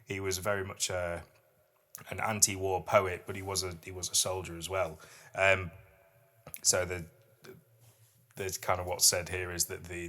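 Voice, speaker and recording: A man with a low-set voice and a bright, crisp edge talks casually as a podcast co-host. He explains things in an easy conversational style, with a playful streak. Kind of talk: casual poetry discussion.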